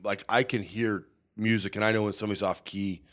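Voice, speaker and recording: Low-voiced man chatting animatedly. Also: brisk. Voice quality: nasal. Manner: rambling, animated storyteller. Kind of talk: casual chat.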